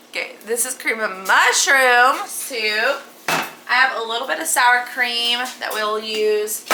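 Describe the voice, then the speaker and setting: A hoarse woman in her thirties hosting a casual cooking vlog, chatty and full of ums and likes. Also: mid-range voice, pushed and bright, slight background noise, poor audio. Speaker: cooking channel host.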